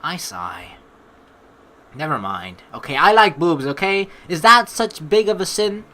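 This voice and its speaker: A woman's voice: deep voice, sing-song, unhurried, bright, forceful tone. A young woman doing playful, slangy let's play commentary and reading game narration aloud.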